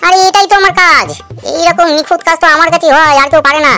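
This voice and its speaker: A woman voicing a brisk, boastful male village potter in a cartoon, hurried and self-assured. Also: very high-pitched, sharp tone, faint music behind. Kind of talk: cartoon voice acting.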